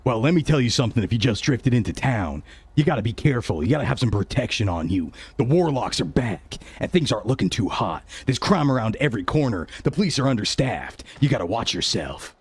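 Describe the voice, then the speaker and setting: Brief: swaggering fitness-hero vigilante, gruff warnings, lively delivery, dry sound, a man's own voice. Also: medium pitch, fairly quick, dry recording. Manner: gruff, warning, self-important. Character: self-styled fitness hero, tough male vigilante.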